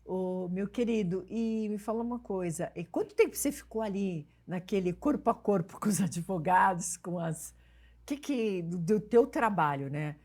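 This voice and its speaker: A woman's voice: mid-range voice, expressive, normal speed, darkish tone. Chatty woman hosting an interview show. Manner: informal, rambling, familiar address. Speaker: TV talk show host.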